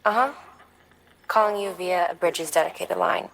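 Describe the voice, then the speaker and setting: A woman playing an enigmatic, devoted support contact in a video game, talking cryptically in short, deliberate phrases. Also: lowish voice, fairly even intonation, vocal fry, a bit bright, slight background noise, poor audio. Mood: composed, cryptic. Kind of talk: dramatic video game dialogue.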